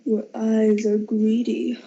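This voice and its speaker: Very slow, solemn, husky spirit, acted by a woman. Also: on the high side, little pitch movement, mellow, full character voice. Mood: solemn, reproachful. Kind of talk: amateur radio drama acting. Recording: dull lo-fi amateur recording.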